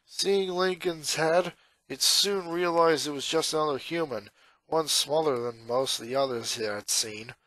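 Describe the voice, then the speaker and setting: Male narrator for scripted story reading, slightly high register, dry recording. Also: a little slow, sharp tone, dry recording. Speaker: fan fiction reader, narrator.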